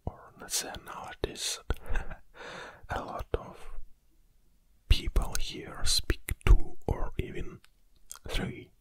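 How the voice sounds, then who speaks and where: A man in his forties from Kazakhstan with a Russian accent, hosting an ASMR video in a slow, soft close whisper with small hesitations, recorded on a studio condenser mic in a small home studio. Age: 40s. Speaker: ASMR channel host. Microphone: studio condenser mic on a stand, wearing headphones.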